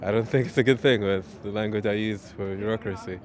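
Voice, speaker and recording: Low-voiced man, terse answers. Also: steady tone, brisk, a little mellow. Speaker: interviewee.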